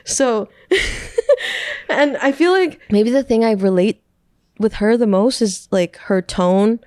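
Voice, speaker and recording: A woman's voice: fairly high pitch, slow pace, no room sound. Woman, NYC-raised, casual podcast guest; meandering, giggly, trailing delivery; dry close mic. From New York City. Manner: rambling, laughs often, trails off softly. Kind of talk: casual chat.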